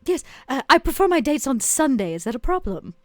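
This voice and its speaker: A woman's voice: high-pitched, big pitch swings, fairly quick, no room sound, studio quality, pitched above the performer's own voice. Vera, a coy, teasing vampire woman played by a woman. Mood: flirtatious, teasing. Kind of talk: in-character tabletop roleplay.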